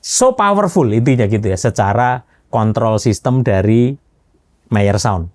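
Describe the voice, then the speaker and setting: A man's voice: lowish voice, expressive, unhurried, darkish tone, dry recording. Man hosting casually, dry close sound. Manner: colloquial, recaps and prompts the guest. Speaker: host interviewer. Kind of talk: casual interview chat.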